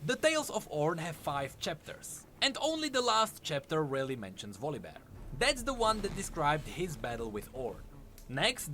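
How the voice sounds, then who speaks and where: A man's voice: a little high, a bit bright, slight background noise. A man reading scripted narration, telling fantasy game lore as a story. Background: background music under the voice.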